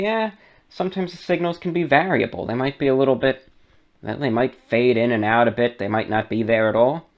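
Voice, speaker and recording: Man explaining step by step, muffled recording. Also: mid-range voice. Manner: conversational, step-by-step instructions with asides. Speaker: radio show host. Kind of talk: explanatory how-to tutorial narration.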